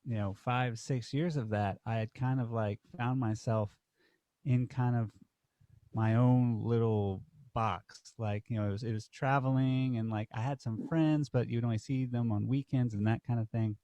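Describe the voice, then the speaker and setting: Man with halting heartfelt speech. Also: mid-range voice, steady tone, dark tone, no room sound. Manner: halting, lots of fillers and restarts, heartfelt. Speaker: podcast co-host, dance teacher. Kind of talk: casual podcast conversation.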